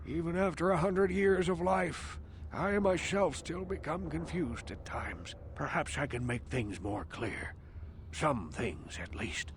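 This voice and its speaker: A man's voice: on the high side, gravelly, slight background noise. A man voicing a hundred-year-old village outcast in a video game, a mystical, wary elder who speaks in formal, portentous questions. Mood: musing, sorrowful. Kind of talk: theatrical video game voice acting.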